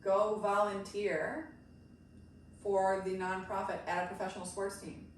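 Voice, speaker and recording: Middle-aged American woman, animated interview guest and coach, casual storyteller, recorded off-mic in a heavily reverberant room. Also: medium pitch, unhurried. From grew up in the United States. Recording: very echoey room, distant mic, faint noise.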